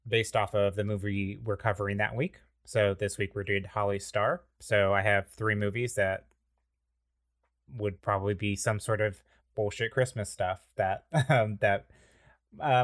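A man's voice: lowish voice, a bit bright. A man hosting a comedy podcast, explaining a game in a rambling, playful way full of fillers. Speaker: podcast host. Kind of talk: casual comedic podcast chat.

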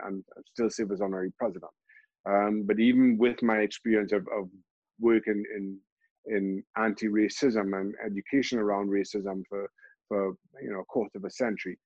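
A middle-aged man from Trinidad and Tobago, a football pundit, answering interview questions in a halting way, restarting and repeating words often. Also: lowish voice, darkish tone, no room sound, highs cut off. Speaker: football pundit and show host.

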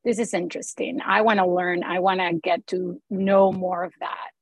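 Woman, English, lively storytelling, very dry close sound. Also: lowish voice, normal speed, no room sound. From Mexico. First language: Spanish. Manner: animated storytelling, acts out quoted voices. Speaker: guest, film producer. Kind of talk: conversational interview.

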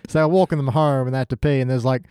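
A man with a mellow, mid-pitched voice talks as a guest on a casual review podcast. His delivery is wry and deadpan, recorded close and dry. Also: racing, smooth, no room sound. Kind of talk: casual comedy review chat.

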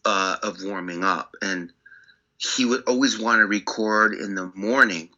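A man, a guest on an interview, telling studio stories in a casual, animated way with short insistent bursts. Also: fairly low pitch, a little slow, dull audio. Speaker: record producer guest.

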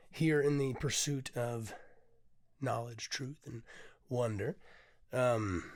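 Man, hoarse voice, tired, casual podcast host, candid, swears, lots of ums. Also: mid-range voice. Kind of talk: casual solo podcast talk.

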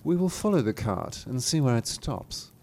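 A man's voice: mid-range voice, lively intonation, normal speed, a little mellow, slight background noise, lightly acted. A man voicing a greedy, scheming stranger in a fairy tale, exclaiming and conspiratorial. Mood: curious.

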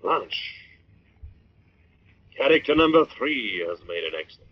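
An actor playing an unseen, sinister avenger who taunts and questions in old-time radio drama style. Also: male voice, medium pitch, very animated. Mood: insistent. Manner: taunting, menacing, deliberate questioning. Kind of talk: 1940s radio drama acting. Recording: muffled vintage radio recording.

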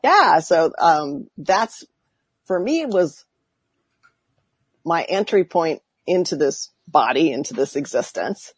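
A woman's voice: sits low, sing-song, slow pace, slightly rough, dry recording. A woman guest, a life coach, telling her story in a reflective, laughing way with many fillers. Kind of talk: casual interview chat.

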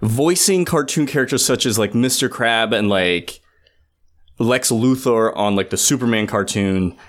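A man's voice: medium pitch, a little slow. A man co-hosting a casual film discussion, earnest and thinking aloud as he goes. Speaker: podcast co-host.

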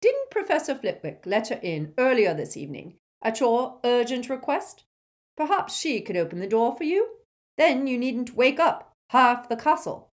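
A woman voicing a stern older female professor who speaks in a crisp, measured, icily proper manner. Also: on the high side, big pitch swings, voice pushed up. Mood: cold.

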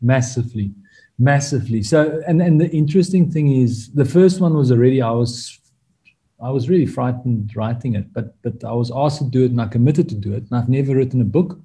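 A man's voice: mid-range voice, brisk, mellow. A man with an Afrikaans accent, a native Afrikaans speaker, talking as a guest author in a podcast interview, reflective and candid, thinking aloud in short restarting phrases.

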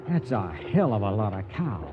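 An actor playing a determined, caring trail boss in a Western radio drama, with commanding folksy cowboy speech. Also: male voice, lowish voice, phone-quality sound, background noise. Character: determined, gruff but caring male cattle trail boss.

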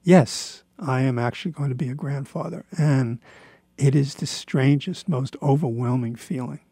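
Gravelly man in his seventies, reflecting aloud. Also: mid-range voice, mellow, dry recording. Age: 70s. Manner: halting, reflective, self-deprecating. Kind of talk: rambling personal monologue.

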